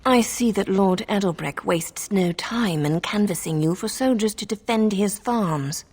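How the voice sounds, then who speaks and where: A woman playing a haughty, calculating noblewoman in a fantasy game, husky and creaky, with a cold, formal manner. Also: medium pitch, measured pace, a little mellow. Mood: cool, disdainful. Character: haughty, calculating noblewoman who rules a city. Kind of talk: scripted fantasy game dialogue.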